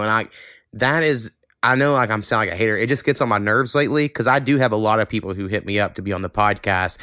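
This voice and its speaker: Male podcast host, clean resonant low voice, blunt and sweary, casual talk in a dry close sound. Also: bright, forceful tone, dry recording.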